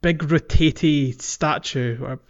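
A man's voice: on the high side, slow pace, smooth. Hesitant man on a dull, lo-fi recording. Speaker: podcast co-host. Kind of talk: casual game discussion. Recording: dull lo-fi audio with music.

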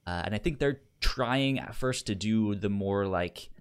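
A man's voice: fairly low pitch, a little slow, clear, resonant tone. A man co-hosting a podcast in casual recap chat, often stammering and repeating the starts of words. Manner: acts out quotes in playful raised voices. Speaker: podcast co-host.

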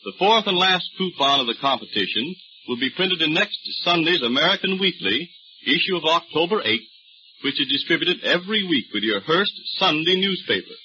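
A male radio announcer reading a scripted sponsor announcement with a crisp, emphatic delivery. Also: fairly high pitch, lively intonation, moderate pace, pushed and bright. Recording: dull old radio recording.

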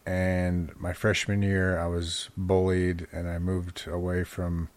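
A man's voice: sits low, completely flat delivery, takes it slowly. A middle-aged man from Utah with an American accent, telling personal stories casually as a podcast interviewee. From Utah, United States. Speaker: podcast host being interviewed as guest.

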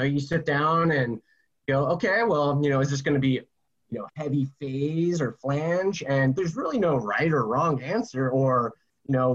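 Male interview guest, slightly high-pitched, informal and explanatory, on a somewhat muffled recording. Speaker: interview guest from a music store. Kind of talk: casual interview chat.

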